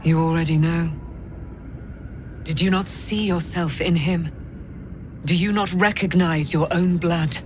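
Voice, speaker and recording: A solemn, otherworldly warrior woman played by an actress from a fantasy game. Her voice sits low and carries grave authority in measured declarations. Noticeable ambience runs behind her. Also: steady tone, a little mellow, a little hiss or hum, natural voice. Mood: solemn, revealing. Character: solemn, commanding celestial warrior woman, freed from captivity. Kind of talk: dramatic video game acting.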